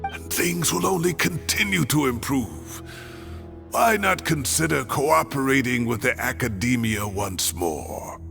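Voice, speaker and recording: An old professor talks in a gravelly, unhurried voice, softly coaxing and wistful. His words come slowly, and clear music plays underneath. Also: mid-range voice, animated, exaggerated voice. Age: older. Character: older male professor, gentle and persuasive. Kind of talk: game cutscene voice acting. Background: game music.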